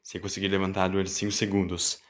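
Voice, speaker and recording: A man's voice: deep voice, monotone, fast talker, noisy background. A man with a Brazilian accent, narrating a scripted story in a dramatic style. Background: music.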